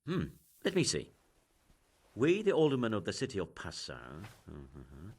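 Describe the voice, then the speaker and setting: An actor playing a shrewd, learned official in a video game, musing and authoritative. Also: male voice, lowish voice, wildly swooping pitch, normal speed, a little mellow. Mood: pondering. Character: learned, shrewd male official leading an investigation.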